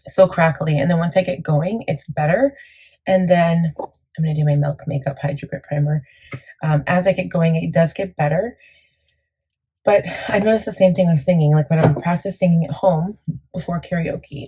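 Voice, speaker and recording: Beauty vlogger, female, deep and husky, warm mellow tone, chatty and meandering. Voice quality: gravelly, deep. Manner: chatty, rambling, many fillers. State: morning voice, a bit crackly. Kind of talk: casual makeup tutorial chat.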